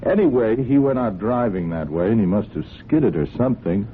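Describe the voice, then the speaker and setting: Actor as a deep-voiced, folksy, probing police sergeant. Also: male voice, expressive, brisk, close to an everyday voice. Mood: apologetic, explaining. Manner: hesitant, apologetic, deceptively mild questioning. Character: folksy, polite but quietly probing male police sergeant. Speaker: police sergeant in a crime drama. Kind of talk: old-time radio drama acting. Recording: muffled, hissy old radio drama recording.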